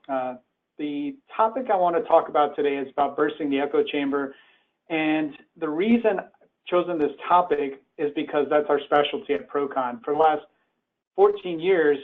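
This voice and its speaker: An earnest, conversational man born in Iran, giving a presentation from home over a call. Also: on the high side. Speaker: webinar speaker. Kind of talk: presentation talk. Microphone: remote call. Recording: tinny phone-like web call audio.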